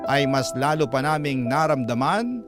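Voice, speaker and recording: A man with a Filipino accent narrating a scripted, emotional first-person story in an earnest, measured radio style. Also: medium pitch, lively intonation. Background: prominent background music.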